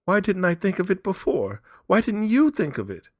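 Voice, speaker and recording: A man's voice: high-pitched, huge pitch swings, moderate pace, no echo, clean studio sound, voice pushed up, heavily acted. A man voicing a feverish, grieving old mother who cries out insistently and hysterically in a dramatic story reading. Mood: excited.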